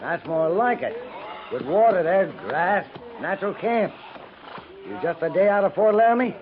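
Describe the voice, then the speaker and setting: High-voiced easygoing frontier scout. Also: male voice, animated, normal speed. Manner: terse, folksy, dropped words. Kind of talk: 1950s radio western acting. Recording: tinny 1950s radio recording, faint noise.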